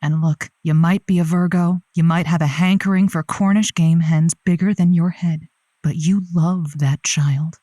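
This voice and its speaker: A woman's voice: on the low side, fairly even intonation, moderate pace, mellow, no room sound, clean studio sound, close to an everyday voice. A woman playing a caring, folksy, exasperated advice host on a call-in radio show in an audio comedy, coaxing emphatically and repeating her words. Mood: reassuring, animated.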